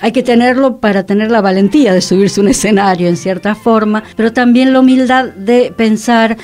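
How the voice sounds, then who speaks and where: Female Argentine radio co-host, slightly high voice, conversational and reflective, explains her thoughts at length. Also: fairly quick, a little mellow. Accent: Argentine, Rioplatense. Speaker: radio co-host, theatre director and actor.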